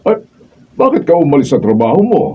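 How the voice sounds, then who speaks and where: A man's voice: a little high, very animated, a little fast, slightly rough, phone-quality sound. A Filipino man playing an older, indulgent father and grandfather in a radio drama, with thin, distant sound. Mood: concerned, questioning.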